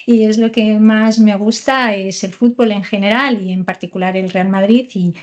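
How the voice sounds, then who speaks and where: A woman's voice: medium pitch, steady tone, moderate pace, darkish tone, dull audio. A woman in her forties, from Portugal, a surgeon answering interview questions in a hesitant, explanatory way. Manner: hesitant, many fillers and restarts while explaining. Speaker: thoracic surgeon, guest.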